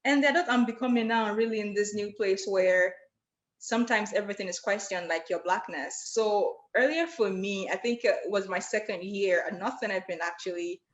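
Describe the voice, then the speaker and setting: A woman from Nigeria with a partly Americanized Nigerian accent, a podcast guest sharing personal stories in an open, animated way. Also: mid-range voice, moderate pace. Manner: open, storytelling, animated when recounting. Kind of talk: casual personal conversation.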